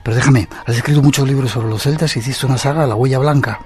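A male radio host talks quickly and chattily in a live studio interview, with faint music under him. He trades joking remarks with his guest. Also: mid-range voice, fairly even intonation, darkish tone. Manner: brisk, chatty, joking questions.

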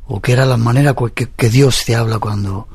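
A man hosting a radio interview, asking questions in a relaxed way and reading out listener greetings. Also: medium pitch, a little fast, husky, no room sound. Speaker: radio host. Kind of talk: late-night radio interview.

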